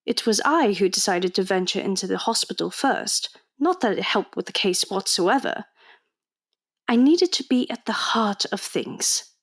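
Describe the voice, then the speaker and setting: Slow-talking, defiant lady detective. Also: mid-range voice, expressive. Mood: composed. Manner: dry, self-assured. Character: defiant, sharp-witted young female undercover agent in Edwardian London. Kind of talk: audio drama acting and narration.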